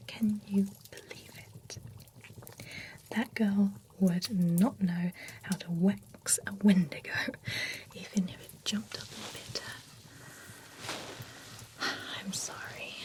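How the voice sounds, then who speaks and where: A chatty, nosy beautician at a spa for monsters, as a woman whispers her into a close ASMR mic, slow and unhurried, with a steady middle pitch. Every word comes as a soft, breathy, unvoiced whisper, gossipy and a little jealous underneath. A faint hiss sits behind her. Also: natural voice, poor audio. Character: gossipy, envious female beautician at an undead spa. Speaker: ASMR roleplay creator. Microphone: close ASMR microphone.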